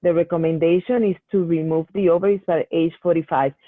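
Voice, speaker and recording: A woman's voice: lowish voice, steady tone, brisk, no room sound. A woman medical geneticist answering patient questions in a Q&A session, explaining at length with many restarts. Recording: tinny phone-like call audio.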